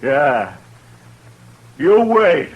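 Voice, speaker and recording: A somewhat high-voiced frontier townsman in a 1950s radio western. He is vengeful and accusing, squaring up for a fight. His cowboy talk is rough and confrontational. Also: sing-song, very slow, dull audio, slight background noise, exaggerated voice. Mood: angry, menacing.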